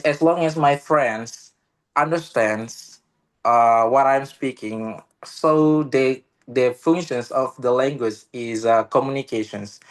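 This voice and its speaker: Slow, halting Indonesian man. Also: mid-range voice. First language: Indonesian local language. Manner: halting, many "uh" pauses and restarts. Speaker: meeting participant. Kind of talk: casual online discussion. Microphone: video call.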